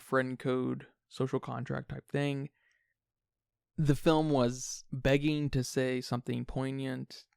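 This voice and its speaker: A man's voice: mid-range voice, vocal fry, smooth, no room sound, clean studio sound. A man co-hosting a podcast, talking casually and analytically about film in wordy phrasing. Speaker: podcast co-host.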